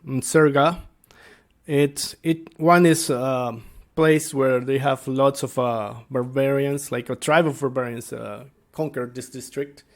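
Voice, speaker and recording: A man speaking as a tabletop game master, explaining things casually and without a script, halting as he thinks aloud. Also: medium pitch, fairly even intonation. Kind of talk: casual unscripted explanation to viewers.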